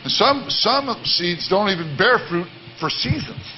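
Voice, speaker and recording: Man, baritone, earnest, repeats himself, church talk, muffled recording. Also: expressive, a little slow, slight background noise. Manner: earnest, repetitive, many restarts. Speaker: worship leader. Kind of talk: sermon-style teaching talk. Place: church service.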